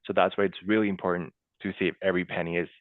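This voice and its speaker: A man's voice: deep voice, level tone, rapid-fire, dry recording. A man, a guest accountant chatting in a podcast interview, leaning on "you know" and "right". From Pakistan. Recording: tinny dry call audio.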